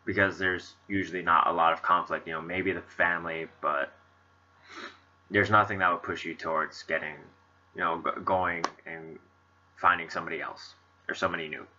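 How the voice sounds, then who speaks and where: A man's voice: sits low, fairly even intonation, normal speed, pushed and bright, dull audio. A man with a stuffy, congested nose, rambling chattily in casual commentary on a game he is playing. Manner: rambling, chatty, many fillers. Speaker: let's play host.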